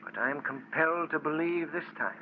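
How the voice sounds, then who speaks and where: A man's voice: on the high side, normal speed, a little hiss or hum, close to an everyday voice. An actor playing an earnest, level-headed man, urgent and reassuring, in the theatrical style of forties radio drama. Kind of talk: 1940s radio drama acting. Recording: tinny vintage radio recording.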